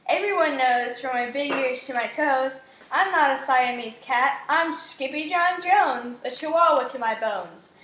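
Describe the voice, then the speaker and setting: A woman's voice: sits high, unhurried, hardly any pauses, a bit bright. A woman voicing a defiant, stubborn little boy kitten in a storybook. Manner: emphatic, stubborn. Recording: echoey room with faint noise.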